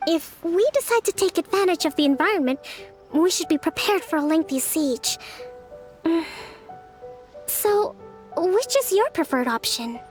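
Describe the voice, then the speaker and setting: A woman playing a gentle, confident young female leader in a video game, speaking in a measured, articulate, formal yet friendly way. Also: very high voice, expressive, soft background music. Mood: confident, encouraging. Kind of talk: video game character dialogue.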